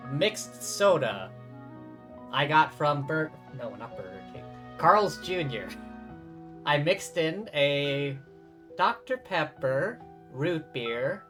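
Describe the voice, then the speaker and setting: A game streamer making casual, dry, drawn-out asides during a livestream. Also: mid-range voice, fairly bright tone.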